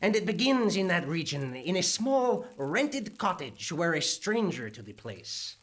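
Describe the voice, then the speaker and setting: A woman's voice: lowish voice, big pitch swings, measured pace. A woman voicing a composed, theatrical storyteller in a live-staged radio drama.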